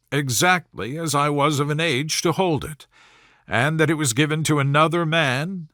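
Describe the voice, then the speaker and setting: A man plays a young Regency gentleman in a storybook reading, fairly high for a man. He speaks in measured, formal phrases, smooth and self-pitying, with wounded dignity. The recording is dry. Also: dry recording. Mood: wounded, dignified. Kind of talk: storybook character voice in audiobook reading.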